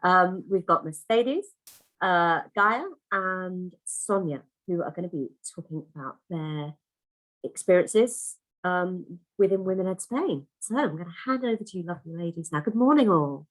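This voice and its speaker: A woman's voice: lowish voice, moderate pace, low-quality recording. A woman hosting an online conference over a video call, chatty and effusive, full of restarts and fillers. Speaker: conference host.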